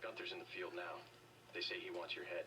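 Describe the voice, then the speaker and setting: An actor playing a weary, wounded, calmly sardonic male agent in a video game, on a noisy distant capture. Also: low-pitched, brisk, natural voice. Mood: weary, grim.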